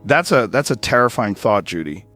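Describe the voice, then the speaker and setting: An actor playing a male late-night radio host who takes calls in a steady, measured way. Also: on the low side, no echo, faint music behind. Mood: calm. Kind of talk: audio drama acting.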